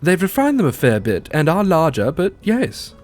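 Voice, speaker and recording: A man's voice: on the high side, huge pitch swings, normal speed, a little mellow, faint music behind. A man playing an informative, matter-of-fact male officer in an audio drama. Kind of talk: audio drama character acting.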